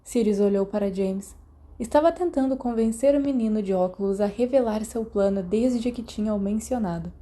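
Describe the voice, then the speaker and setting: Woman, Brazilian accent, story narration, very dark warm timbre. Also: mid-range voice, normal speed. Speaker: podfic narrator. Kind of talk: scripted audiobook-style narration.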